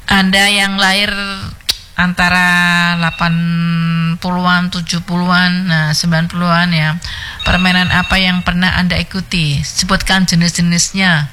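Chatty woman radio host bright voice. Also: lowish voice, little pitch movement, normal speed, a little hiss or hum. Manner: chatty, laughs often. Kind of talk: casual call-in radio chat.

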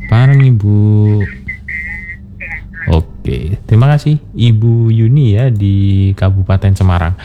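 A man's voice: fairly low pitch, measured pace, phone-quality sound, a little hiss or hum. A man hosting a late-night call-in radio show in Indonesia, chatting casually with callers, humming along and chuckling often. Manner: chatty, lots of soft hums and chuckles. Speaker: radio host. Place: radio studio.

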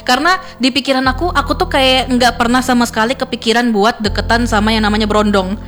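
A woman's voice: fairly high pitch, fairly even intonation, fast talker, fairly bright tone, faint music behind. A young woman hosting a radio show in a studio, talking in a chatty, giggly way full of fillers. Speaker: radio host. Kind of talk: casual radio chat.

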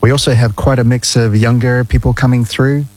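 A man, a guest ambassador giving measured, friendly answers in a radio studio interview. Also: medium pitch, fairly even intonation, a little mellow. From New Zealand. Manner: measured, friendly, explains at length.

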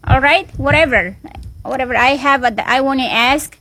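A woman's voice: sits high, normal speed, pushed and bright, slight background noise. Chatty woman radio host. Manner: chatty and encouraging, many restarts and fillers. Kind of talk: casual on-air chat with a guest.

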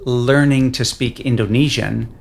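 A man from Canada with a Canadian accent, answering interview questions about learning English in a relaxed, encouraging way. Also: medium pitch, a little slow, gravelly. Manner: relaxed, encouraging, fillers like "you know". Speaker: English school head.

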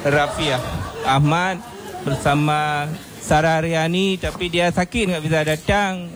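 A man's voice: a little high, fairly even intonation, slow, background noise. An actor playing a shy young male student who speaks with a thick Acehnese accent, earnest and awkward. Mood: nervous. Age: young adult. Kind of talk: amateur drama acting. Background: background music.

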